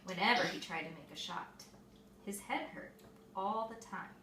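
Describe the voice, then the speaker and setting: A woman reading a picture book aloud to young children, expressive and explaining things simply, like a mother at storytime. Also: mid-range voice, normal speed. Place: echoey room with small children present. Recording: echoey room, distant mic, faint noise.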